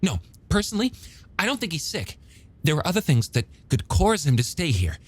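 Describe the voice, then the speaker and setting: A man's voice: fairly high pitch, wildly swooping pitch, fairly quick, breathy edge, pitched above the performer's own voice, full character voice. A man playing an eager, bookish young male scientist in an audiobook, stammering a little as he explains things emphatically and testily. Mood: animated, explaining. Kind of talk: audiobook character dialogue.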